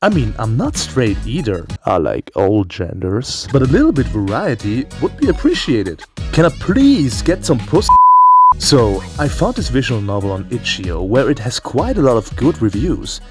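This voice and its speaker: A man's voice: mid-range voice, wildly swooping pitch, moderate pace. A man delivering a comedic scripted video game review in a theatrical, profane and playful way. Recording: music behind the voice.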